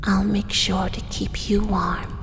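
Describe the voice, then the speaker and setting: A woman voicing a possessive female drider in an audio roleplay, whispering slowly and softly in a doting, controlling way. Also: medium pitch, little pitch movement, long reverb tail, slight background noise, close to an everyday voice. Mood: whispery, possessive. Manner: soft, slow, whispered, doting yet possessive.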